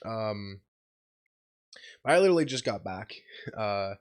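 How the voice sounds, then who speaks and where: A man's voice: lively intonation, slow pace. Sick young man, swearing, rambling vlog. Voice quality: deeper voice than usual from being sick. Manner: swears and laughs often, rambling and exasperated. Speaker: channel host. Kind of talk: casual vlog update.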